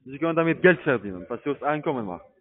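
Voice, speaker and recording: A man with a foreign accent, a non-native speaker, pushing an insistent, questioning sales pitch. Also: expressive, fast. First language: not German. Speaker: telesales investment agent. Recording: narrow, dry phone line.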